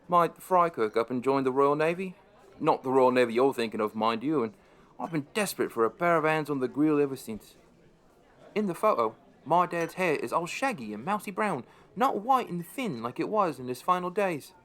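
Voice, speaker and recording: Man as a wry pub landlord, higher voice, very animated, chatty first-person storytelling, radio play. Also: normal speed. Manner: wry, conversational, expressive. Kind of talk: first-person audio drama narration.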